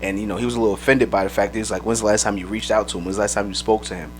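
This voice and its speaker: Dude cohosting a podcast, kinda low slightly raspy voice, talks quick and loose, cusses a lot. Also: male voice, steady tone, faint music behind. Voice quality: a little raspy. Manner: fast, loose, profane storytelling. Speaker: podcast co-host. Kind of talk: casual podcast chat.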